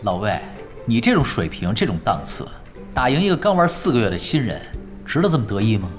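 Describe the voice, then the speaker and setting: A man's voice: lowish voice, sing-song, fairly quick. A man playing a laid-back young pro gamer in a radio drama, dry, teasing and nonchalant. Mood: mocking. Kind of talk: Chinese radio drama acting. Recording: music behind the voice.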